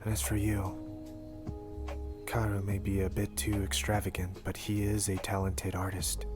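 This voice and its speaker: A man playing a composed, formal ghoul doctor in an anime audio roleplay, speaking in a measured, dry way. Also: sits low, completely flat delivery, close to an everyday voice. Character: composed, formal male ghoul doctor, curt but loyal. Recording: prominent music bed under voice. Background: music.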